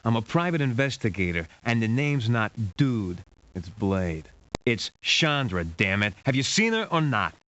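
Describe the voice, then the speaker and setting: An actor playing a wisecracking, streetwise private investigator in a video game, with wry, casual, slangy delivery. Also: male voice, very animated, unhurried, a bit bright. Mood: irritated, insistent. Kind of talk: video game hero acting. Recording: dull, hissy low-fidelity game audio.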